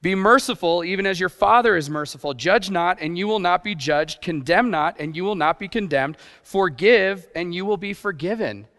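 A youth pastor preaching a sermon in a conversational way, with rhetorical questions and playful asides. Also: male voice, high-pitched, moderate pace, few pauses, a bit bright. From grew up partly in Japan. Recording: long hall reverb.

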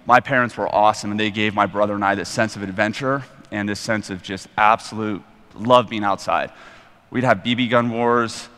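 A man of forty with an American accent, giving an informal, self-deprecating personal testimony as a guest preacher in a large hall. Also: fairly low pitch. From Colorado, United States. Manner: casual, self-deprecating, animated retelling of dialogue. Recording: reverberant hall.

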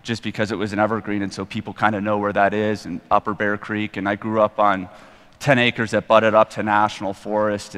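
A man of forty with an American accent, giving an informal, self-deprecating personal testimony as a guest preacher in a large hall. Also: lowish voice. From Colorado, United States. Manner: casual, self-deprecating, animated retelling of dialogue. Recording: reverberant hall.

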